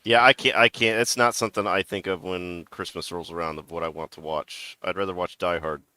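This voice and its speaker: A man on a podcast panel chats casually, stumbling and restarting his sentences often. His voice is fairly low yet bright and smooth, and his mic sounds dry and close. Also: fairly even intonation, brisk, no echo.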